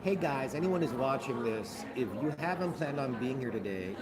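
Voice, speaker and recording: A flamboyant older man chatting casually as a festival host, theatrical and self-mocking, his voice a bit deeper than usual today. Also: animated, moderate pace, a loud crowd. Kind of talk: casual chat on a livestream.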